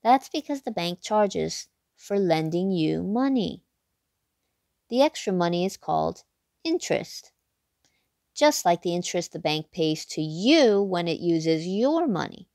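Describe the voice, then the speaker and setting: A woman hosting a read-aloud, reading a children's picture book expressively, with big excited leaps in pitch. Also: measured pace, creaky, no echo, clean studio sound.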